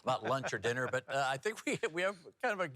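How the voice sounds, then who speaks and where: Male TV anchor, American accent, very varied pitch, newscast delivery, chatty and upbeat. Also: big pitch swings, fast talker, pushed and bright, no room sound. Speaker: TV news anchor. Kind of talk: local TV newscast reading with casual banter.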